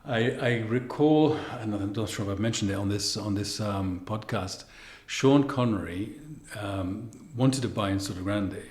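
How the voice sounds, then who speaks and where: A man's voice: fairly low pitch, normal speed, few pauses, darkish tone. A man hosting a podcast, interviewing a guest in a conversational style. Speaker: podcast host. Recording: roomy, echoing voice recording.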